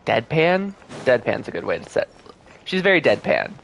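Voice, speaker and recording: A man giving casual gameplay commentary as a streamer, chatty and thinking out loud. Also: medium pitch, wildly swooping pitch, normal speed, vocal fry, slight background noise. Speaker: game streamer.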